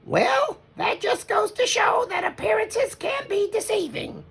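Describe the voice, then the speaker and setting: A narrator voicing a motherly, sensible female bear in a children's storybook, gently instructive and proper. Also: very high-pitched, expressive, measured pace, gravelly, pitched above the performer's own voice, exaggerated voice.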